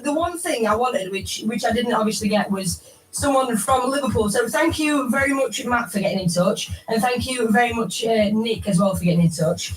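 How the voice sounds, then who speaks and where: A woman's voice: on the high side, echoey room, recorded from a distance, low-quality recording. A woman presenting a radio show in a chatty, playful way, mimicking the way people greet each other.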